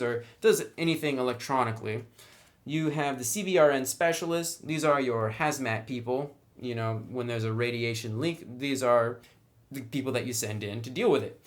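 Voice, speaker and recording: A man with an average-pitched voice gives a casual review, explaining things point by point. He keeps it conversational and slips in dry, wry little asides as he goes. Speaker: tabletop game book reviewer.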